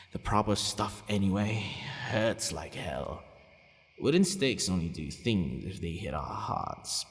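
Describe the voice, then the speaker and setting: A man playing a centuries-old, courteous but possessive vampire in an ASMR roleplay, deliberate and hesitant, sometimes murmuring almost to a whisper. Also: low-pitched, full character voice. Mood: candid, dismissive. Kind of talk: ASMR roleplay addressed to the listener. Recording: long reverberant hall echo.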